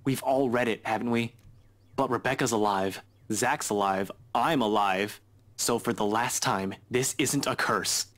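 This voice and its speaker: An actor playing an irritated young police investigator in a video game, stern, skeptical and scolding. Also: male voice, mid-range voice, measured pace, a bit bright, lightly acted. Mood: exasperated. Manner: exasperated, scolding. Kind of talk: visual novel game voice acting.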